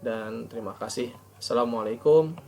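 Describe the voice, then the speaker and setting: Creaky-voiced man presenting. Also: medium pitch, a little mellow, a little hiss or hum. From Indonesia. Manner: formal, reading out points then explaining haltingly. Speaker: postgraduate student presenter. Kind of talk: academic presentation of an article review.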